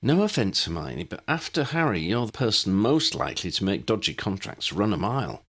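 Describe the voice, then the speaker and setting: A man voicing a sharp-tongued, teasing young woman witch with a dry, mocking manner in an audiobook. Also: lowish voice, sing-song, a little fast, voice pushed up, exaggerated voice.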